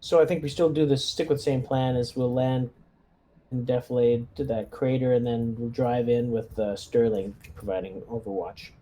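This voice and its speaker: Mellow-voiced man playing a tabletop game. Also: medium pitch, steady tone, moderate pace, a little hiss or hum. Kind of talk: casual game planning chat.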